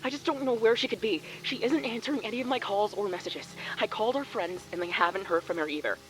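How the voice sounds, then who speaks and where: A woman's voice: medium pitch, fast, faint music behind, natural voice, poor audio. An actress playing an anxious, hesitant woman in an audio drama, speaking in a natural everyday way. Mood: worried. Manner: worried, hesitant. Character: anxious, polite woman searching for someone.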